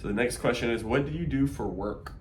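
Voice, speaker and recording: A man's voice: medium pitch, fairly quick, a little hiss or hum. A man in his thirties chatting casually with his partner, rambling with many fillers and restarts. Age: 30s. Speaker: co-host of couple Q&A video. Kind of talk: casual chat.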